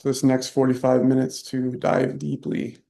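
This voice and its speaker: A man leading a guided meditation, soft-spoken and unhurried, leaving long pauses between short phrases. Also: medium pitch, completely flat delivery, vocal fry. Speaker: meditation teacher.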